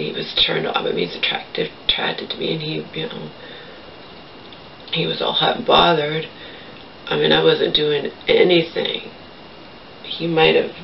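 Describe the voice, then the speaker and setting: Gravelly woman rambling from across the room. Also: deep voice, slight background noise. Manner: meandering, blunt, swears freely. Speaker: vlogger. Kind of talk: rambling casual chat to camera. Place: small bedroom, indoors. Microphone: camera across the room. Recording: distant, muffled camera audio.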